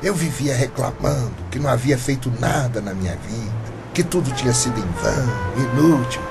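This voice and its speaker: A man playing a lovestruck, creaky-voiced old man. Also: mid-range voice, fairly quick, over loud music, lightly acted. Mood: earnest, resolute. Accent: Brazilian. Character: eighty-year-old man, lovestruck, earnest and stubborn. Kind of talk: Brazilian radio drama acting.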